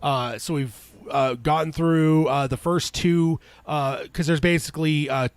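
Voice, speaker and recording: A man's voice: on the high side, fairly even intonation, measured pace, smooth, no room sound. A man co-hosting a casual podcast, talking in a rambling way with lots of "uh" fillers and a slightly congested voice. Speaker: podcast co-host.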